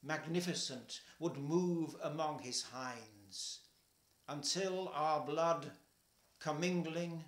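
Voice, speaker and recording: An older man reading a poem aloud at home, slow and measured, his voice falling soft at the ends of lines. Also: on the high side, reverberant. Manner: slow, measured, trailing softly at line ends. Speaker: poetry reader. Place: home kitchen, indoors.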